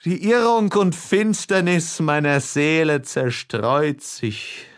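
Slow-paced, theatrical male audiobook narrator. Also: fairly high pitch. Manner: impassioned, theatrical, with long pauses. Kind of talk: dramatic literary audiobook reading.

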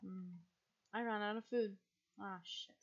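A young woman from the United States, chatting casually and rambling as she streams a game, with a husky, quiet voice and frequent laughs. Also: mid-range voice, steady tone, a little slow, dull audio.

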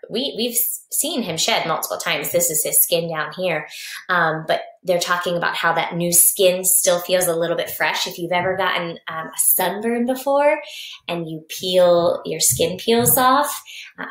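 A woman's voice: lowish voice, fairly bright tone. A woman reading a picture book aloud and explaining it to young children, speaking to them directly. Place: basement at home, indoors. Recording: echoey basement room.

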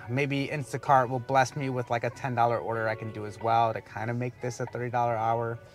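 A man in his forties chatting to the camera from inside a parked car, relaxed and easygoing. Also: mid-range voice, brisk, soft background music. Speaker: delivery driver vlogger. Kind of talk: casual vlog narration. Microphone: clip-on lapel mic.

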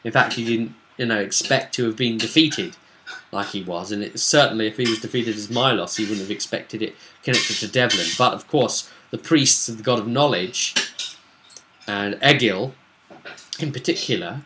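A British man in his thirties casually recounting a tabletop game to camera in a halting, unscripted way, in a small room at home. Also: fairly low pitch, noisy background. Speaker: game master recapping a tabletop role-play session.

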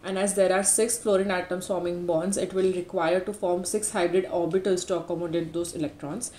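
A woman's voice: fairly low pitch, little pitch movement, a little fast, warm tone. A woman presenting as a tutor, explaining step by step in a tutorial narration. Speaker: chemistry tutor, channel presenter. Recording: roomy echo with background noise.

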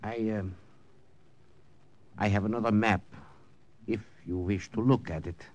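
An actor playing a formal, guarded German officer with a German accent in an old-time radio drama. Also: male voice, on the low side, fairly even intonation, a little slow, darkish tone, highs cut off, close to an everyday voice. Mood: guarded, uneasy.

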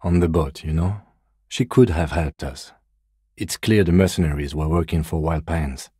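An actor playing a composed, weary police detective in a video game, with dry, deadpan, measured delivery. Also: male voice, rumbling low, slightly rough, no room sound. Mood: weary, matter-of-fact.

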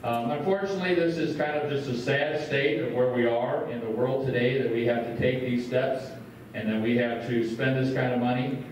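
A man's voice: medium pitch, fairly even intonation, moderate pace, a little hiss or hum. A man who is a school superintendent addresses a community meeting from a podium in a large school room, talking plainly with many filler words. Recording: roomy echo, distant from the mic.

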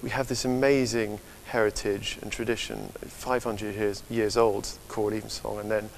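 A man in his thirties giving a thoughtful, halting interview answer on a lapel mic in a large church. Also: on the low side, flat delivery, poor audio. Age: 30s. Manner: halting, thoughtful phrasing with repeated words. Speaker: interviewee, website director. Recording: faint background hiss.

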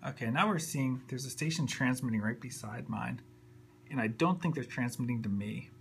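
Male hobbyist demonstrator, mid-pitched with vocal fry, offhand unscripted delivery, faint room noise. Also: moderate pace. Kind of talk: casual unscripted tutorial narration. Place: indoors at a computer desk. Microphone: handheld camera, a little off the screen. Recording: faint background hum and noise.

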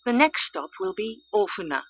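A woman reading a formal scripted train announcement, measured and clearly articulated, over onboard PA speakers. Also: mid-range voice, very animated, fairly bright tone. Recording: train PA recording with hum and noise.

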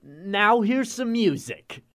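A flippant radio DJ with a high, swooping voice. He draws out his showy patter at a slowish pace. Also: expressive. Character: joking, showy male radio DJ. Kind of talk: radio DJ patter in audio drama.

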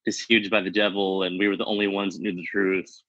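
A man speaking as an interview guest, measured and full of hedging fillers. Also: low-pitched, level tone, bright, forceful tone, no room sound, dull audio. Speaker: guest, former church member. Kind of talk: casual interview.

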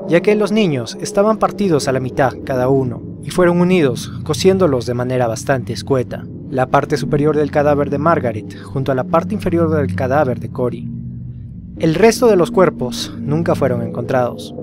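A man's voice: fairly high pitch, normal speed. A man narrating a scripted horror case as a storyteller, putting rhetorical questions to the listener. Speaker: narrator of a horror explainer video. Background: music behind the voice.

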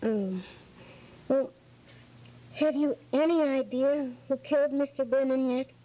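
A woman's voice: sits high, measured pace. A middle-aged woman playing an inquisitive secretary in a radio play, reading from a script. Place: function room, live staged reading. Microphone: stand microphone. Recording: dull lo-fi old tape.

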